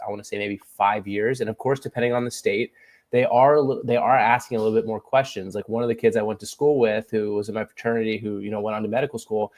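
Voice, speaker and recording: Man, thirties, fast-paced, earnest, panel chat, mid-pitched. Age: 30s. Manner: earnest, quick and animated, acts out quoted voices. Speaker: podcast guest.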